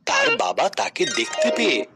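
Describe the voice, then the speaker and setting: A man narrating a scripted Bible story for children in a dramatic, expressive way. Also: medium pitch, normal speed, loud music behind the voice. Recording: clearly noisy background.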